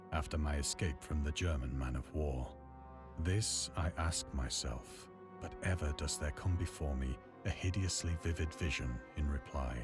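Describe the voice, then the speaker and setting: An actor voicing a haunted, terrified sailor who narrates a horror tale in a grave, theatrical manner. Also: male voice, very deep voice. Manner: grave, ornate, theatrical delivery. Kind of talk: dramatic horror narration for a visual novel. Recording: loud music under the voice.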